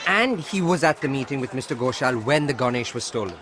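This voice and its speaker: A man's voice: mid-range voice, expressive, a little fast, a bit bright, slight background noise, lightly acted. An actor playing a young man, an eager and questioning detective's assistant, in a radio drama. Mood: curious.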